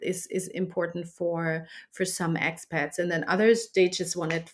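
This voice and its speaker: A woman with a German accent, a native German speaker, talking conversationally as an interview guest in halting, hesitant phrasing. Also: fairly low pitch, little pitch movement, slight background noise. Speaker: guest, dating app founder.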